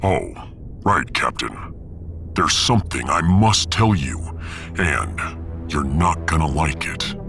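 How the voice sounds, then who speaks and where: Man playing a gravelly, deep-voiced gruff spaceship gunner. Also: expressive, slow, long pauses, music in the background, exaggerated voice. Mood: hesitant. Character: gruff male spaceship gunner, loyal and cocky. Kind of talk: sci-fi audio drama acting.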